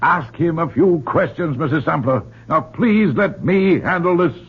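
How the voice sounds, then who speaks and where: An actor playing a stern, zealous reverend in an old-time radio drama, grave, deliberate and commanding in delivery. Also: male voice, fairly high pitch, lively intonation, breathy edge, soft background music, exaggerated voice. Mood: grave, insistent. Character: stern, zealous reverend confronting a murder suspect. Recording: dull, muffled old radio broadcast.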